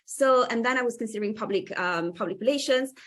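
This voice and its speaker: A woman's voice: fairly high pitch, fast, a bit bright. A woman whose first language is Italian, hosting a casual interview chat in an enthusiastic, friendly way with hesitant fillers. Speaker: podcast host.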